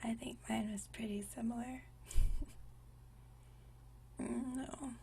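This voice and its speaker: A woman playing a gentle, nostalgic century-old vampire in a whispered ASMR roleplay, speaking softly and intimately with unhurried, genteel old-fashioned phrasing. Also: a little high, steady tone, distant mic, slight background noise. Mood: quietly reminiscing. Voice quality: soft breathy whisper.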